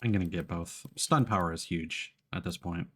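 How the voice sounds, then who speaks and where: A man giving casual live gameplay commentary as a streamer, often thinking out loud in a halting way. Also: low voice, slight background noise. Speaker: game streamer.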